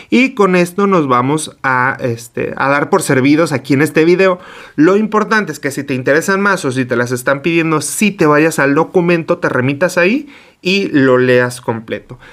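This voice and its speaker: A man's voice: on the high side, fairly bright tone. Man explaining a lesson. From Mexico. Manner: asks himself questions and answers them, with small self-corrections. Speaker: teacher trainer. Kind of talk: explanatory online lecture.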